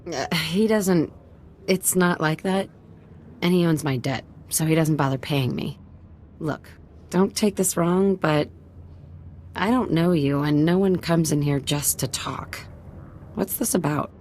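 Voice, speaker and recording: An actress playing a guarded young assistant in a video game, with a casual but wary way of talking. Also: female voice, low voice, a little slow, pauses often, a little mellow, slight background noise. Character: guarded young female assistant working off debt.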